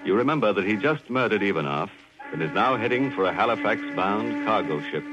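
A male announcer recapping a radio mystery story in measured, storytelling delivery. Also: on the low side, lively intonation. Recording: muffled old radio broadcast with music.

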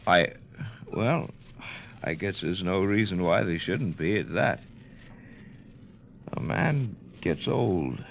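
An actor playing a weary, worried, aging ship's captain in an old radio drama, speaking haltingly. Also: male voice, low voice, animated, gravelly, exaggerated voice. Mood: weary, resigned. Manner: hesitant, halting. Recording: muffled vintage radio recording, faint noise.

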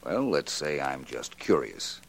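A suave private eye in an old radio detective show. His voice is very low and richly inflected, coolly probing yet courteous and polished. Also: very animated, a little slow, creaky, exaggerated voice. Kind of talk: late 1940s radio detective drama acting. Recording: old 1940s radio recording.

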